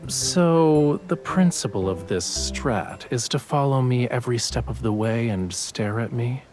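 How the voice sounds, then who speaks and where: A man's voice: medium pitch, unhurried, a little mellow, soft background music, natural voice. A man playing a teasing, smug young painter, the playful romantic lead of a video game. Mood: amused teasing. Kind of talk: English game voice acting.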